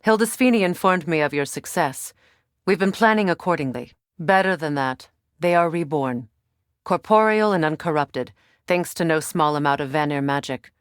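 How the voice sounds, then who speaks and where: A woman playing a regal, guarded warrior goddess in a video game, speaking in a measured, solemn, dry-witted way. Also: sits low, smooth, no echo, natural voice. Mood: resolute, commanding. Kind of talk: dramatic video game voice acting.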